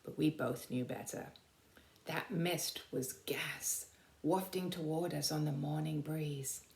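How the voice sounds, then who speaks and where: A woman narrating a children's storybook aloud with dramatic, deliberate emphasis. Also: sits low, steady tone, vocal fry, a little mellow, echoey room. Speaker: teacher reading aloud.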